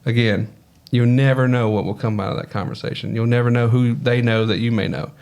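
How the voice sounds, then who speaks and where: A man with a Southern US accent chats as a podcast guest. His voice sits at a middle pitch, and his manner is easygoing and rambling. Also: steady tone, brisk, a little mellow. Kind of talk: casual podcast conversation.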